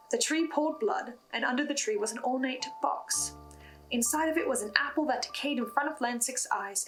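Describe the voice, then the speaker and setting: High-pitched woman narrating over music. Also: a bit bright, poor audio. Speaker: host of a recap and theory video on a horror podcast. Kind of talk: scripted narration of a story recap with asides.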